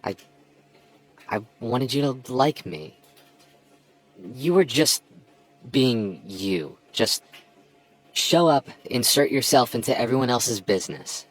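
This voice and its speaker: A young man playing a defensive guy in love with his childhood friend in an ASMR roleplay, halting and earnest. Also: mid-range voice, expressive. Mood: hesitant, confessing.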